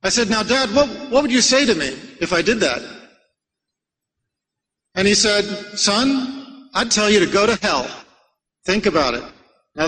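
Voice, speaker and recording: Male preacher from America, measured rhetorical lecture style, long reverb of a hall around him. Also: high-pitched, sharp tone. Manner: measured, rhetorical, addresses the audience with questions. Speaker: Islamic preacher. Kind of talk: religious lecture to a live audience.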